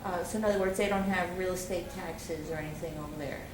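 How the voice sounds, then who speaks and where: Low-voiced woman host, distant and echoey. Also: fairly even intonation, fast. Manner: hesitant, many ums and restarts. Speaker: interview host. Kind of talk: public-access interview. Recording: echoey room, far from mic, loud noise.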